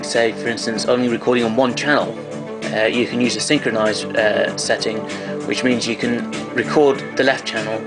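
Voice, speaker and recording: A man giving an informal technical demonstration of equipment, explaining things methodically. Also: medium pitch.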